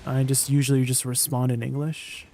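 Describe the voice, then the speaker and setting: A Canadian man chats with viewers while streaming a game. His mid-pitched voice has a gravelly fry, and he talks in a relaxed, rambling way. A faint hum of room noise sits behind him. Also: normal speed. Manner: relaxed, rambling, trailing off at line ends. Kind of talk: casual stream chat.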